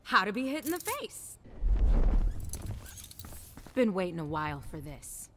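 A woman's voice: medium pitch, very animated, unhurried, heavy background noise. A cocky young soldier girl. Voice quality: sassy, confident delivery. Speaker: fighting game character. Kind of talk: video game intro banter.

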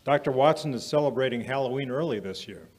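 A man playing an affable 1940s radio announcer and pitchman, theatrical and deliberate on a live stage. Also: animated, darkish tone, close to an everyday voice. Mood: dramatic. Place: small stage in a museum, live performance. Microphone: vintage-style stand microphone.

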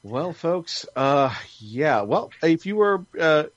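A man's voice: on the high side, big pitch swings, slow, dry recording, highs cut off. A man hosting a casual podcast panel, introducing the discussion and handing over to panelists. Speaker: podcast host.

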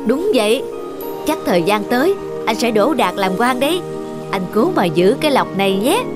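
A woman's voice: fairly high pitch, sing-song, moderate pace. A woman voicing a humble, earnest elderly man who keeps a temple in a cartoon folktale. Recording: music behind the voice.

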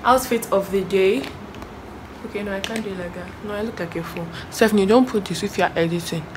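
A woman's voice: medium pitch, brisk, slight background noise. A young woman chatting casually to a phone camera while filling in on a friend's vlog. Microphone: phone at arm's length.

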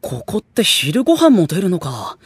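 An actor playing a polite, easily flustered teenage hero in an anime audio drama, reacting with exasperation. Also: male voice, sits high, wildly swooping pitch, moderate pace, husky, exaggerated voice. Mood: bemused.

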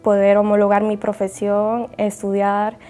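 A woman's voice: medium pitch, completely flat delivery, a little slow, mellow, soft background music. A woman in her thirties from El Salvador, speaking earnestly and thoughtfully about her experience as a migrant. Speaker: interviewee. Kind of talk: reflective testimony. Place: bright indoor hall.

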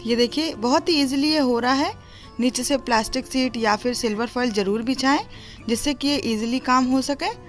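A woman's voice: high voice, normal speed. A woman narrating a step-by-step recipe tutorial in an instructive, explanatory manner. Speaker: home cooking host. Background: music.

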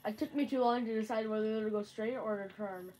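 A woman's voice: a little high, steady tone, a little mellow, a little hiss or hum, rough recording. A woman with a sore throat, casually commenting on her game from a bedroom and rambling to herself. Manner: slow, rambling, talks to self. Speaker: gamer.

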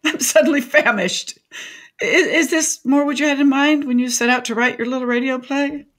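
Indignant, sardonic older widow. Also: female voice, high-pitched, normal speed, fairly bright tone. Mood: proud, defiant. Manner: wordy, theatrical, dryly sardonic. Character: indignant, garrulous, opinionated older widow dining alone. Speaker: lead actress in a radio play. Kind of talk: comedic radio drama acting.

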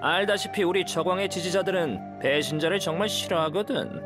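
A man's voice: sits high, fairly quick, lightly acted. An actor playing a menacing male desert radical in a video game, with a mocking manner. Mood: mocking menace. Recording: music clearly behind the voice.

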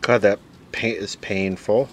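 A man's voice: fairly low pitch, a little slow. A man giving casual, off-the-cuff repair commentary, muttering and thinking aloud as he works. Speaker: host repairing vintage electronics on camera. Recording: steady background noise. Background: steady background noise.

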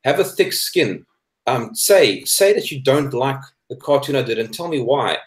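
A man's voice: mid-range voice, expressive, moderate pace. A South African man in his thirties giving opinions in a debate chat, emphatic and repeating phrases for stress. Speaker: guest, cartoonist. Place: indoor room, video call. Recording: echoey room over video call.

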